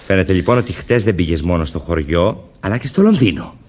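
An actor playing a jealous, suspicious, hot-tempered young husband in a Greek radio drama. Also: male voice, sits low, animated, a little mellow, husky. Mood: accusing.

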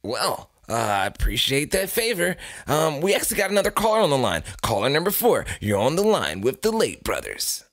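A man's voice: a little high, wildly swooping pitch, fairly quick, hardly any pauses, a bit bright. A man hosting a comedic talk radio show, introducing and questioning guests.

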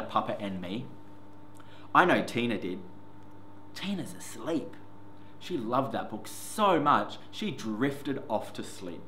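A man hosts story time, reading a picture book to young children in an expressive, sing-song way. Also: mid-range voice. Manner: expressive, sing-song, addressing small children with simple words. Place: indoor classroom reading corner. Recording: roomy echo with faint background noise.

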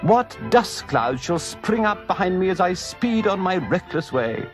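A man voicing a boastful male toad gentleman who gushes in a dreamy, grandiose way, as part of a storybook reading. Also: a little high, sing-song, slow pace, over music, voice pushed up, heavily acted. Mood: rapturous.

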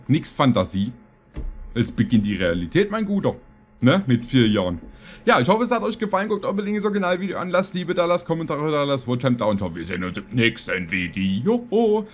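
A man in his thirties hosting a reaction video, commenting casually and jokingly in a halting, deadpan way. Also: mid-range voice. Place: small indoor streaming room.

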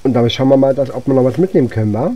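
A man's voice: medium pitch, racing, muffled recording. A man giving casual let's play commentary as host, musing aloud to himself as he goes. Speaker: gaming channel host.

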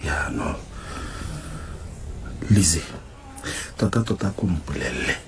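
A exasperated husband in a radio drama. His deep voice swings up and down as he pleads, with clear background noise. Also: lively intonation, measured pace. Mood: exasperated, pleading. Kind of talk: Setswana radio drama acting.

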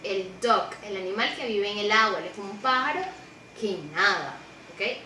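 A woman's voice: medium pitch, normal speed, sharp tone, roomy sound, recorded from a distance, slight background noise, poor audio. A young woman teaching preschool children in an online class, speaking patiently and repeating each word in two languages with frequent "ok?" checks. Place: small room indoors, seated beside a monitor.

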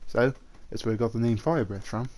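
Male actor as possessive dragon suitor in human form, mid-pitched, gently coaxing, halting, for roleplay audio drama. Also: slight background noise, lightly acted. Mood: eager, fond. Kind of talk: roleplay ASMR audio drama, addressing the listener.

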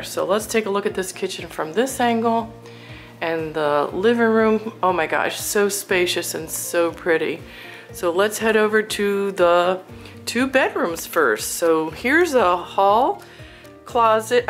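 Slow-talking woman giving an admiring home tour. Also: medium pitch, a bit bright. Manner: admiring, exclamatory. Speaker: realtor showing a home for sale. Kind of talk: casual walkthrough home tour narration. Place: indoors, inside a furnished house.

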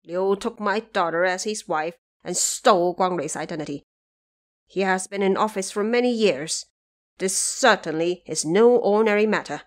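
A woman's voice: mid-range voice, moderate pace, lightly acted. A dignified older statesman voiced by a woman speaks formally and solemnly. He is a resolute prime minister in an audio drama.

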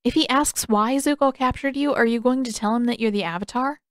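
Female reader as naive, earnest young boy for audiobook dialogue, high pitch. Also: a little fast, dry recording, clean studio sound, close to an everyday voice. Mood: curious. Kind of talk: character dialogue in audiobook narration.

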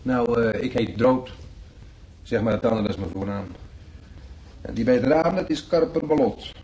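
A man's voice: medium pitch, normal speed, darkish tone, highs cut off, close to an everyday voice. Actor as cheeky, bantering male patient. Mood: breezy, chatty. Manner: bantering, breezy slang. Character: cheeky, teasing long-haired male hospital patient. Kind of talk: radio play acting.

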